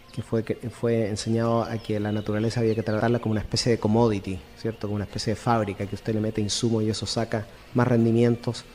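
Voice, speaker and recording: A middle-aged man giving an explanatory radio interview. He talks quickly in a mellow voice, with a didactic manner. The sound carries a long reverb, as in a hall. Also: medium pitch. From Chile. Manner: didactic, with rhetorical check-ins. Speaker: interview guest, agronomy expert.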